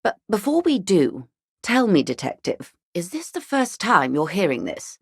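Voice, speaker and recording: A woman playing a worldly, middle-aged upper-class corporate negotiator in a video game, speaking in a measured, polite, articulate and formal way. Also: lowish voice, very animated, gravelly, husky, dry recording, clean studio sound, heavily acted. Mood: polite, probing. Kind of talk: video game character dialogue.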